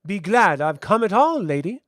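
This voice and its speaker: A man voicing an ancient elemental being in child form, calm and lofty, with formal archaic speech in a fantasy audiobook. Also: sits high, moderate pace, no echo, studio quality, pitched above the performer's own voice. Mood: lofty, proud.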